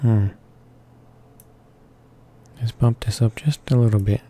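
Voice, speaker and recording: A man's voice: lowish voice, steady tone, takes it slowly, mellow. A man reading visual novel narration aloud for his gaming channel, stumbling over words as he goes. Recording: faint music behind the voice. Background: game music.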